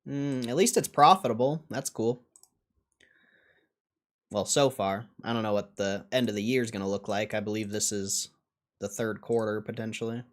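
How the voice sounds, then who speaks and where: A man's voice: fairly low pitch, moderate pace. A man hosting a livestream in a casual, blunt and dismissive way, swearing now and then as he answers chat. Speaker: livestream host.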